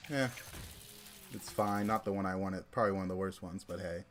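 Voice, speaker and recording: A man's voice: sits low, fairly quick, fairly bright tone. A young man from Georgia streaming a card game, chatting casually and thinking aloud with lots of fillers. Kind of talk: casual livestream commentary.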